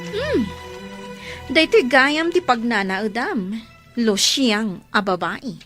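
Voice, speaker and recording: High, swooping, melodramatic estranged wife. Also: female voice, big pitch swings, slow pace, fairly bright tone, soft background music, heavily acted. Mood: scornful, jealous. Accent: Ilocano. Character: pleading, jealous, sharp-tongued estranged wife and mother. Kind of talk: Ilocano radio drama acting.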